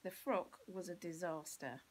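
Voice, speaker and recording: A woman's voice: on the low side, measured pace, slightly rough. A woman with an English accent reading a children's storybook expressively, as a teacher would to pupils.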